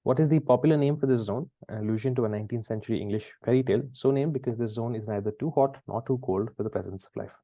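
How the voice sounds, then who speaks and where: A man's voice: medium pitch, racing, hardly any pauses, dry recording, muffled recording. A man hosting a quiz, reading questions and chatting conversationally with the players. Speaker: quiz host.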